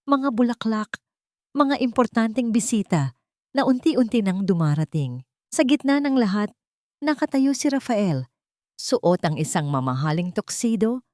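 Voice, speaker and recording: A woman narrating a scripted romantic drama in the first person, with solemn, measured storytelling. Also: mid-range voice, darkish tone, dry recording, studio quality.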